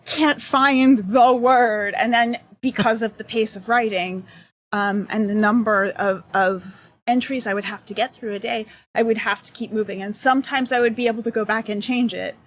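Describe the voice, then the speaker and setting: A woman's voice: on the high side, fairly even intonation, moderate pace, poor audio. A woman talking as a guest in a casual interview, thoughtful and searching for her words. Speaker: accessibility consultant, guest.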